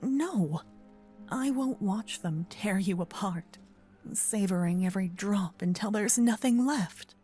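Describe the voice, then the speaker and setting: A woman voicing a gentle, possessive old female vampire in an ASMR roleplay, murmuring and whispering close to the microphone. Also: medium pitch, faint music behind, close to an everyday voice. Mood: protective, insistent. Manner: breathy, slow whispering and murmuring. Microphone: close binaural microphone.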